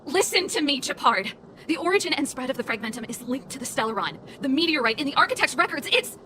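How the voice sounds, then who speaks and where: An actress playing a bold, defiant older sister who rebels against authority in a video game, impassioned and insistent. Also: female voice, high-pitched, lively intonation, quick pace, pushed and bright, slight background noise. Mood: urgent, insistent. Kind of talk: dramatic video game voice acting. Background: game music.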